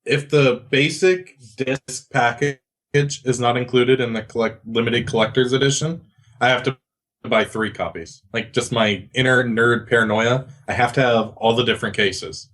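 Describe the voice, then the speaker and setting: A man's voice: mid-range voice, moderate pace, fairly bright tone. A man in casual conversation as a podcast co-host. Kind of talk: casual chat.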